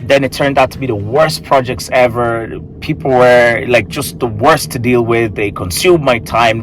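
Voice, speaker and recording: A man's voice: mid-range voice, a bit bright, a little hiss or hum, low-quality recording. Rambling man in his thirties. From Tanzania, raised in Ireland. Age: 30s. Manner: rambling, restarts and self-corrections. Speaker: marketing coach. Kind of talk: casual unscripted talk to camera. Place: recording booth in an office.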